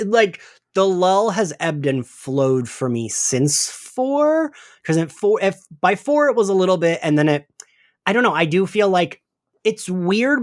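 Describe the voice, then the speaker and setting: A man hosts a casual podcast with a lively, swooping delivery. His manner is self-deprecating and chatty, and the sound is dry, with very little room on it. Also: fairly high pitch, no echo. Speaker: podcast host.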